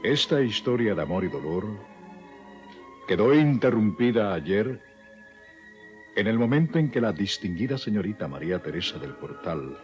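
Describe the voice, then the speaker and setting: A man's voice: on the low side, very animated, normal speed, pauses often, a little mellow. Solemn male radio serial announcer, muffled archive sound. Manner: solemn, melodramatic, formal. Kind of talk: old radio drama announcing, scripted narration. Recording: dull archival radio recording with music. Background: music.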